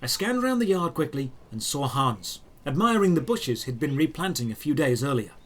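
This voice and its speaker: A man narrating a scripted first-person fantasy story in a measured, descriptive storytelling manner. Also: on the high side, big pitch swings, fairly bright tone.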